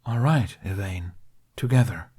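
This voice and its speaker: A man voicing a protective young man who talks in firm, hushed reassurance in an audiobook fan reading. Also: on the low side, measured pace, husky. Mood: tender.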